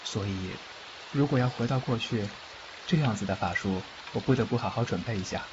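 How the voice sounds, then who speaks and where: A man acting a fugitive underworld official and doting, cocky godfather in a fan audio drama, expressive and protective. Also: fairly low pitch, brisk, lightly acted. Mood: grave, cautioning. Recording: muffled, faint background noise, rain-like ambience.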